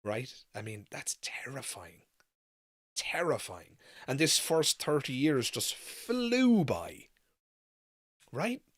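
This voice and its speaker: A man's voice: medium pitch, sing-song, slow. A man in his thirties hosting a casual live chat, musing in a rambling way and repeating phrases for emphasis. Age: 30s. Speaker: livestream podcast host.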